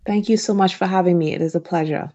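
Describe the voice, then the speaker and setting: A woman's voice: lowish voice, fast, dark tone. A woman from Trinidad and Tobago giving reflective, informal interview answers as a guest, recorded over a remote call with dull, lo-fi sound. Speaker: physician guest on a podcast.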